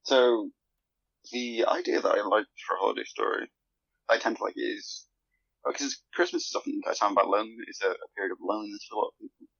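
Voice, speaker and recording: A man's voice: on the low side, fast, creaky, fairly bright tone, no room sound. A man chatting casually as a podcast guest, hesitant and rephrasing as he goes. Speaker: podcast guest, fan fiction author. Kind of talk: casual chat. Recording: dry, slightly muffled voice-call audio.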